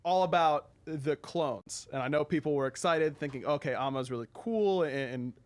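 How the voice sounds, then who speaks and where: A man's voice: a little high, moderate pace, dry recording. A man hosting a casual video game showcase, commenting in an easygoing, approving way. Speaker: show host.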